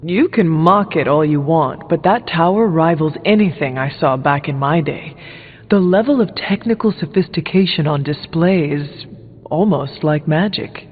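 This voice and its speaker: An actor playing a level-headed, earnest warrior hero in a video game, with a measured and formal way of talking. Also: male voice, a little high, close to an everyday voice. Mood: admiring. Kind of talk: video game character dialogue. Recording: phone-like band-limited sound under music. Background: music.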